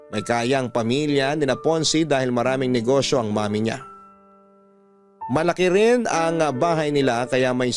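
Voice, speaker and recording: A man with a Filipino accent reading a scripted first-person love story as a radio-style narrator. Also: medium pitch.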